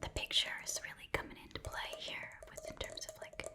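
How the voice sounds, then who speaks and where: A woman voicing an eager, folksy goblin pawn shop keeper in a soft whispered ASMR roleplay, murmuring haltingly to a customer. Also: natural voice. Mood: absorbed, musing. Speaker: ASMR performer playing a shopkeeper.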